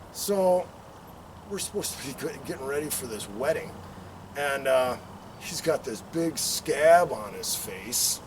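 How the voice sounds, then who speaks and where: A man's voice: medium pitch, darkish tone. Middle-aged man storytelling, far from the mic. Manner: rambling, acts out quotes and engine sound effects. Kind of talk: animated casual anecdote telling. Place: outdoor backyard patio. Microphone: camera several meters away. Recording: distant mic, outdoor background noise.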